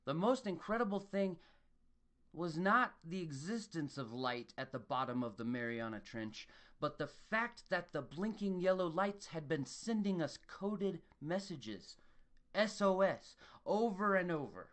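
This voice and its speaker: A man's voice: fairly high pitch, expressive, a little slow, a bit bright. A man giving a dramatic scripted narration of a horror story.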